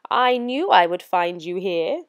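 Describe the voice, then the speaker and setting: A woman voicing a gentle, knowing old island grandmother in a storybook, explaining things in a calm, assured, kindly way. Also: fairly low pitch, measured pace, dry recording, studio quality.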